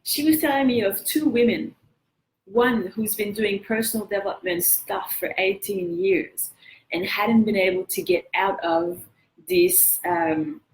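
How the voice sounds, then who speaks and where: French-accented lady coaching her group live, earnest and encouraging, mid pitch, bit of room echo. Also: a little hiss or hum. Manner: earnest, encouraging, asks viewers for feedback. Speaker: coach hosting a weekly live session. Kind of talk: motivational live-stream talk.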